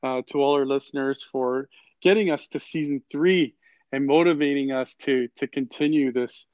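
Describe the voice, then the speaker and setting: A man's voice: mid-range voice, moderate pace, a little mellow, dry recording. Dull-sounding effusive male podcast host. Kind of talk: conversational podcast hosting.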